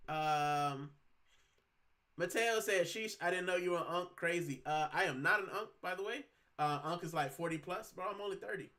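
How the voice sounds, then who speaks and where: 30s guy from puerto rico doing a home livestream, bright voice, a bit high, rambly slangy chat. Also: moderate pace. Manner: chatty, rambling, slangy, many fillers. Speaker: livestream host. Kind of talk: casual livestream chat, reading comments.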